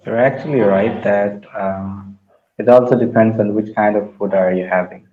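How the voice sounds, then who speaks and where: A man learning English, asking questions haltingly with many fillers on a video call. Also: on the low side, steady tone. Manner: halting, many fillers, non-native phrasing. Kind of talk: casual video-call conversation. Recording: roomy, dull lo-fi call audio.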